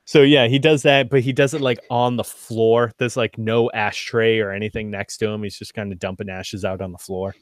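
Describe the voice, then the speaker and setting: A man acting as game master, narrating a tabletop game in a casual, halting way with self-deprecating asides. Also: on the low side, fairly even intonation, no echo, studio quality.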